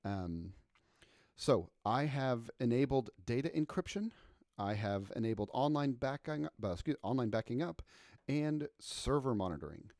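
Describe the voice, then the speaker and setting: A man giving a casual software walkthrough as a product trainer, with lots of ums and self-corrections. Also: mid-range voice, lively intonation, normal speed, dry recording, clean studio sound. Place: voice-over on a screen recording.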